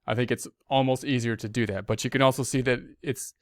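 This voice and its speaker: A man's voice: medium pitch, steady tone, fast talker, no echo. Male instructor, conversational walkthrough, step by step, repetitive phrasing, dry recording. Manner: conversational, repetitive, talks through steps as self-directed speech. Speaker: accounting instructor. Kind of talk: informal spoken tutorial walkthrough.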